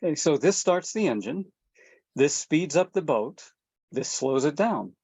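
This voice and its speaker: A woman reading the part of a gentle, patient father at a table read, speaking calmly and reassuringly. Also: low voice, unhurried, husky, full character voice. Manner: calm, reassuring. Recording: dull, muffled audio.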